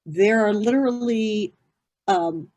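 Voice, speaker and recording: A woman in her fifties talks through grief as a therapist. Her voice sits at a middle pitch, and her delivery is earnest and explanatory, full of fillers and restarts. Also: takes it slowly, a little mellow. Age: 50s. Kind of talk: conversational interview answers.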